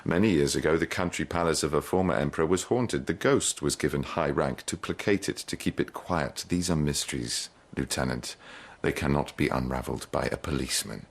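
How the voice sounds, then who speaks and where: Very deep-voiced haughty court lord. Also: male voice, fairly quick, gravelly, hardly any pauses, breathy edge, full character voice. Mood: imperious, dismissive. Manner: imperious, disdainful, formal. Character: haughty, suspicious high-ranking court lord and poet. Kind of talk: radio drama acting.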